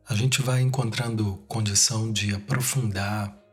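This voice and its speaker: Slow, low-voiced Brazilian man guiding meditation. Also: fairly even intonation, husky. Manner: unhurried short phrases with long pauses. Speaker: guided meditation leader. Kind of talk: guided meditation narration. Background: music.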